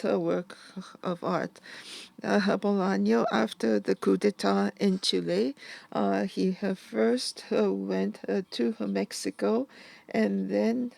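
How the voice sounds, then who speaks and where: An interpreter giving a live interpretation of an artist talk at a museum event, halting, with an "uh" before nearly every phrase. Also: medium pitch, creaky, a little mellow.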